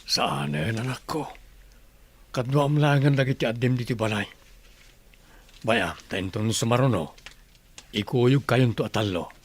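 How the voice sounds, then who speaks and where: An actor playing a gentle middle-aged widowed father, a village tailor, in a radio drama. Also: mid-range voice, expressive, a little slow, long pauses, husky. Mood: fatherly. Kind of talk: Ilocano radio drama acting.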